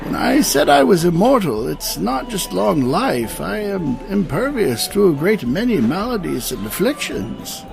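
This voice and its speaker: A man's voice: sits high, sing-song, a little slow, gravelly, hardly any pauses, a little mellow, faint music behind, exaggerated voice. Man playing a stately, regretful immortal knight. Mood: grave, matter-of-fact. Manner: formal, stately, archaic phrasing. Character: weary immortal old warrior knight, honorable and regretful. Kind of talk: fantasy audio drama acting.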